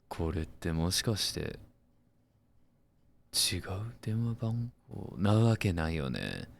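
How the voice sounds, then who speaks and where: Man acting a deep-voiced cocky anime boyfriend. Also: gravelly, a little hiss or hum, exaggerated voice. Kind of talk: Japanese voice-drama phone monologue.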